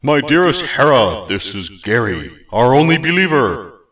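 A man voicing a tiny, pompous male god who speaks in a grand, archaic, booming manner. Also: very animated, measured pace, a bit bright, far from the mic. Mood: pleading.

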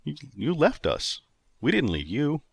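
Man reading a sarcastic young guy, impatient, dry delivery, lively pitch. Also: a little slow, vocal fry, slightly rough, no room sound, exaggerated voice.

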